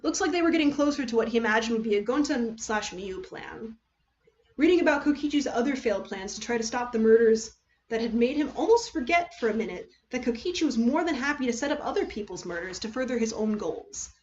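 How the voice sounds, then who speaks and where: High-voiced woman narrating a story dramatically. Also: animated, brisk, a little mellow, highs cut off. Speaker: streamer reading fan fiction.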